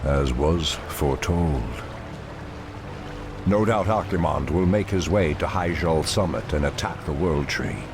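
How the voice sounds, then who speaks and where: An actor playing an ancient night elf archdruid in a fantasy game, with grave, formal, commanding speech. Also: male voice, measured pace, noisy background. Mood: grave, measured. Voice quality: very deep, gravelly. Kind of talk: dramatic fantasy game acting. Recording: orchestral game music behind voice. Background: loud music.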